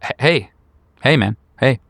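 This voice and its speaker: A man's voice: mid-range voice, lively intonation, measured pace, creaky, a bit bright, husky, no echo, studio quality, voice pushed up, exaggerated voice. A man voicing a painfully awkward young recluse in his twenties in a tabletop horror game, stammering, repeating himself and apologizing. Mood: awkward, eager.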